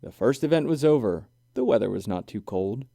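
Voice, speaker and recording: A man reading a children's story aloud in an expressive, deliberate, sing-song way. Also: medium pitch, creaky, no echo, clean studio sound. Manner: animated, deliberate, sing-song rhyming delivery. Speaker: children's book reader.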